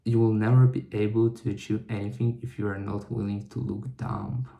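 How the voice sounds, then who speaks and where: A young man muses slowly to camera, stopping and restarting as he works through his thoughts. His voice is mellow and gravelly, with a low pitch. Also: level tone. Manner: halting, thinking aloud with many restarts. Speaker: vlogger. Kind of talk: reflective solo vlog monologue.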